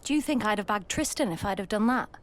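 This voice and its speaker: A woman's voice: mid-range voice, lively intonation, fast, a little hiss or hum, natural voice. An actress playing a frank, bossy younger sister in an audio play, emphatic and matter-of-fact as she advises. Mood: knowing, emphatic. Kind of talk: audio drama acting.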